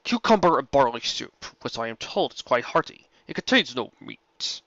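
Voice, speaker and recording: Ancient dragon played by a man: huge, courteous, deliberate, mid-pitched storybook character, slightly muffled sound. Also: big pitch swings, a bit bright, no room sound. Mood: calm, hospitable. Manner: formal, measured, deliberate.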